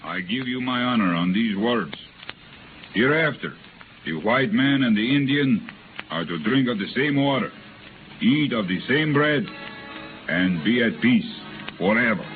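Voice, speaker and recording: Actor as solemn, honorable general, tinny radio. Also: male voice, mid-range voice, animated, a little slow, long pauses, natural voice. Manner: formal, oratorical. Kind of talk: 1930s radio drama acting. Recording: tinny 1930s radio recording, music behind.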